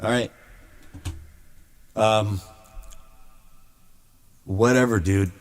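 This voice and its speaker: A man's voice: lowish voice, steady tone, very slow. A man streaming live while making music, talking casually to his chat in a rambling, aggrieved way with lots of stammered restarts. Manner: grumbling, aggrieved.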